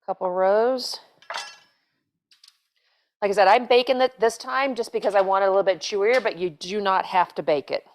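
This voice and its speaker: A middle-aged woman runs a home cooking demonstration, chatting casually as she works. She rambles and restarts, filling gaps with "so" and "um". The voice sits at a middle pitch, recorded on a lapel mic in a home kitchen. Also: normal speed, long pauses, a little hiss or hum. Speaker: cooking show host, chef.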